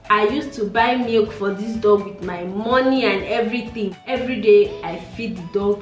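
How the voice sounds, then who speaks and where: A woman's voice: medium pitch, echoey room, distant mic. A Nigerian woman in her late twenties with a Nigerian accent, chatting casually in a get-to-know-me vlog, musing aloud and repeating herself. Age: late 20s. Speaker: beauty vlogger.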